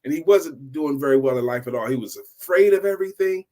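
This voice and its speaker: A man's voice: on the high side, lively intonation, fast talker, darkish tone. A middle-aged man hosting an informal motivational storytime, repeating key phrases for emphasis like a seasoned speaker. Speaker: storytelling host, sales coach and speaker.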